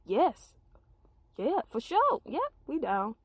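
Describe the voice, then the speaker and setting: Lady doing cowardly soldier guys, slangy and stammery, voice jumps up high, comic storybook bit. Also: huge pitch swings, slow pace, no room sound, full character voice. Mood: flippant, nervous. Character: timid, flippant male soldiers eager to slip away.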